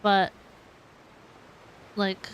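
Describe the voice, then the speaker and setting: A woman's voice: drawn-out words, a little hiss or hum, rough recording. A woman giving casual live commentary as she plays a game, musing aloud in a giggly way. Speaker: game streamer.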